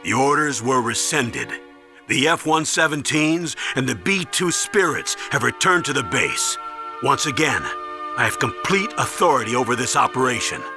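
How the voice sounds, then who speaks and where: An actor playing an older military commander in a video game, measured and earnest, with a fatherly gravity. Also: medium pitch, animated, lightly acted. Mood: relieved, authoritative. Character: older male military commander, grave, paternal, remorseful. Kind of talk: dramatic video game acting. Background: music.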